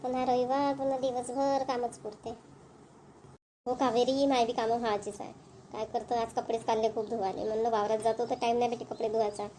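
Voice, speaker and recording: A woman's voice: a little high, mellow, a little hiss or hum, close to an everyday voice. A woman voicing a homely, chatty village housewife and mother in a cartoon comedy, with a Varhadi Marathi accent. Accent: Varhadi Marathi of Vidarbha. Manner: chatty, homely, repetitive phrasing. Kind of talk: amateur cartoon comedy dialogue.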